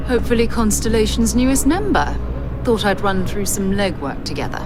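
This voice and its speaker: Woman playing a dry-witted, expressive space explorer. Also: medium pitch, fairly quick, music in the background, natural voice. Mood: wry. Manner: dry, wry, composed. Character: composed, dry-witted female space explorer, briskly skeptical. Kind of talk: video game character dialogue.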